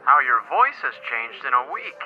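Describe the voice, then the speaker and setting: A man's voice: medium pitch, sing-song, moderate pace, soft background music. Actor as a man on a dull phone line. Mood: dry. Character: dry hard-boiled man on a long-distance call. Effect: telephone filter. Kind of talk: old-time radio acting.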